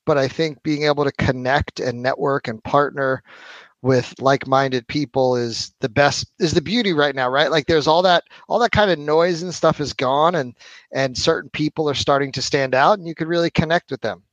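A man in his forties, a podcast guest, telling his story in a relaxed, casual way with lots of fillers. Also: on the high side, moderate pace, no echo, highs cut off. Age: 40s. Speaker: podcast guest, marketing executive. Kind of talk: casual interview chat.

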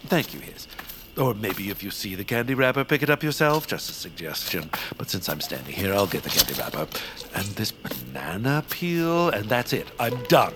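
An actor playing a pompous, scheming villain baron in a family audio drama, theatrical, grandiose and fussy. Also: male voice, lowish voice, sing-song, moderate pace, over music. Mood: fussy indignation. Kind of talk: audio fiction cartoon-like villain acting.

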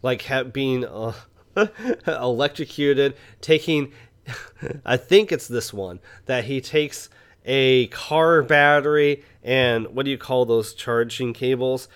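A man co-hosting a film podcast in casual conversation, often halting mid-thought as he talks. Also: medium pitch, smooth. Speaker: podcast co-host and film reviewer.

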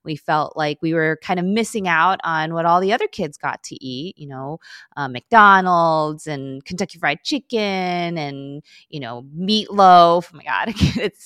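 A woman's voice: low voice, unhurried, a bit bright, clean tone, dry recording, clean studio sound. A middle-aged woman from Chicago, speaking as a podcast guest and telling childhood stories with frequent laughter. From Chicago, United States. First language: Korean. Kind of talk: relaxed interview storytelling.

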